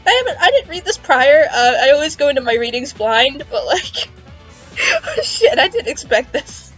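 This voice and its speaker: Female comedy narrator, fairly high voice, playful dramatic reading, casual delivery over background music. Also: sing-song, normal speed, a bit bright, poor audio. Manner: amused, playful, giggly. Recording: music behind the voice.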